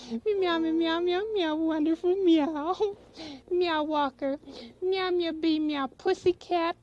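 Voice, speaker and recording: Shy kitty puppet, high falsetto, meowing between words, slow sing-song, dull old TV sound. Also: male voice, steady tone, a little mellow. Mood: sweet, playful. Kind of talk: children's puppet show.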